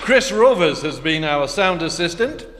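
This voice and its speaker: Male announcer, unhurried and formal, animated intonation, old-time radio style, reverberant hall with clear crowd noise. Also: a little high. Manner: measured, formal. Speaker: announcer reading cast credits. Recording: noisy hall with audience sound.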